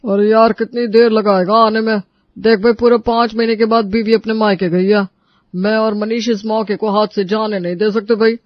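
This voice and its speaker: A woman's voice: medium pitch, fairly even intonation, moderate pace, no room sound, highs cut off, close to an everyday voice. A woman voicing a cheeky, impatient male drinking buddy who teases his friend in a comic audio story. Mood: impatient urging. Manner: teasing, impatient.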